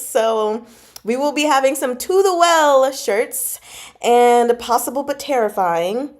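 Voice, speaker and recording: Woman, casual livestream host, informal, animated, giggly, mid-pitched voice. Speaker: host of a book club channel and amateur audiobook narrator. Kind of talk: casual livestream chat, reading out and answering comments.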